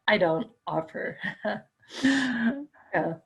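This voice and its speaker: Woman with a crackly, raspy voice. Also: lowish voice, fairly even intonation, drawn-out words. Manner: repeats phrases back. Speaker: client.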